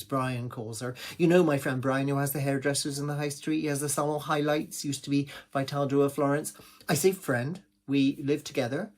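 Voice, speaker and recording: A man's voice: fairly high pitch, close to an everyday voice. A man acting a gossipy village pantomime pianist. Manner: confiding, rambling, gossipy, with malapropisms. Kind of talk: theatrical comic monologue.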